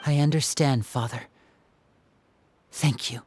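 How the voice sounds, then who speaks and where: An actor playing an earnest, loyal young man in a game cutscene, soft-spoken and humble yet resolute. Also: mid-range voice, steady tone, slow pace, slight background noise, lightly acted. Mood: grateful. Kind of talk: scripted dramatic game cutscene acting. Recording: plucked-string music behind the voice.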